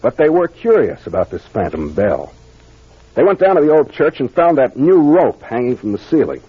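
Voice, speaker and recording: An American man playing a confident detective captain in a 1940s radio mystery, explaining things deliberately and with authority. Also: medium pitch, sing-song, husky. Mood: assured, explanatory. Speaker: actor playing the investigator. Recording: muffled old radio recording.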